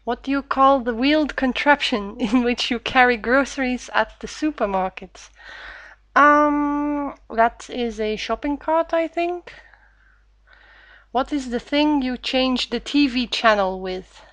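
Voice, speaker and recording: Woman, slightly high voice. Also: measured pace, a bit bright, no echo. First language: Dutch. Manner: hesitant, frequent "uh" fillers, stumbles when reading. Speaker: vlogger. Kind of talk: casual Q&A with read-aloud passage.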